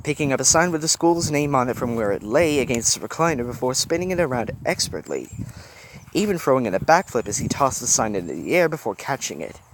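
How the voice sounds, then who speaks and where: A man reading a fan story aloud, animated with occasional stumbles over words. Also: mid-range voice, fast talker, a little hiss or hum. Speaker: reader.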